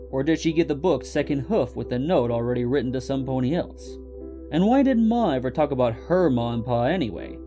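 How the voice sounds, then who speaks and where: A man's voice: on the high side, warm tone. A man narrating a scripted story as the host of a fiction reading.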